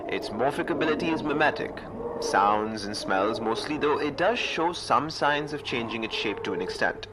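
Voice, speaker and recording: An actor playing an earnest, businesslike outpost official in a sci-fi audio drama, speaking in a measured, explanatory way. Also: male voice, medium pitch, animated, very noisy, lightly acted. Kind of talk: audio fiction acting.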